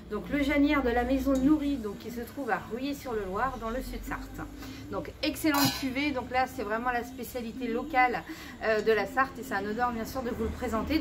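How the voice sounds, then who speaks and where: A middle-aged woman hosting a casual cooking demonstration in a small shop, chatty, filling every pause with "so" and "of course". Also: sits high. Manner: chatty, keeps saying "donc" and "bien sûr". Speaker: cooking show host.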